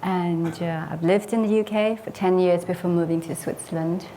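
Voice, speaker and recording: A woman's voice: lowish voice, fairly even intonation, normal speed, mellow, slight background noise. A woman who grew up in Sweden, a property investor, giving a personal case study talk in an earnest, reflective way with frequent hesitant fillers.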